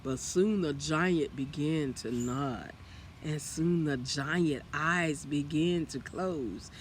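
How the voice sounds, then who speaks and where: Slow, high-voiced man telling a story. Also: slight background noise. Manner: expressive, with acted character lines. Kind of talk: children's story read aloud.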